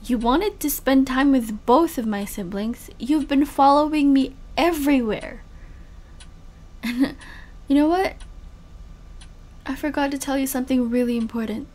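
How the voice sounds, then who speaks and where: A woman's voice: high voice, a little slow, pauses often, a little mellow. A woman playing a betrayed, bitter and guarded young female spy in an emotional audio roleplay. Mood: cold, bitter.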